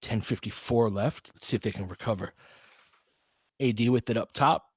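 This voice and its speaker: A man's voice: lowish voice, moderate pace, breathy edge, no echo. Casual man calling basketball, close dry sound. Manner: informal, candid, opinionated. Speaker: play-by-play host of a fan stream. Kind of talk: casual basketball play-by-play and commentary.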